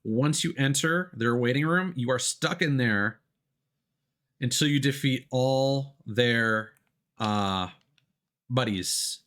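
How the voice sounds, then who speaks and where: A man's voice: medium pitch, takes it slowly, a bit bright. A man giving live let's play commentary as a streamer, reading game text aloud and adding hesitant explanatory asides.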